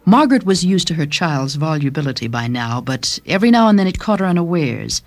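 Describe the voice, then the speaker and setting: A woman narrating a short story as a dramatic, expressive audiobook reading. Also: deep voice, brisk. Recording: music under the reading. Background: background music.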